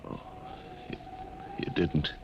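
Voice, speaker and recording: An actor playing a shaken, earnest scientist speaking haltingly in a 1950s radio drama. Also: male voice, lo-fi sound, lightly acted. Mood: dazed. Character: shaken male scientist, insistent survivor of an ordeal. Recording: slightly noisy old radio recording.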